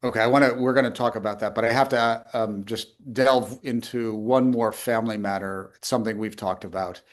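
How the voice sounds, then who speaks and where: A man interviewing a guest, asking guiding questions in a measured way. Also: medium pitch, fairly even intonation. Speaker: interviewer, history professor. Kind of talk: conversational interview.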